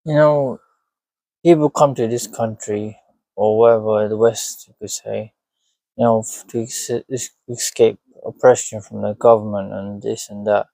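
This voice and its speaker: Gravelly man talking in halting bursts. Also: on the low side, steady tone, warm tone, dry recording, poor audio. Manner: halting, many fillers.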